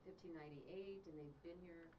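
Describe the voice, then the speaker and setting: Lower-voiced woman, halting and distant. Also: mellow. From southern Colorado, USA. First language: Spanish. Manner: halting, often repeats her words. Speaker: author and genealogist guest. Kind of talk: conversational interview storytelling. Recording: distant, dull mic with faint hiss.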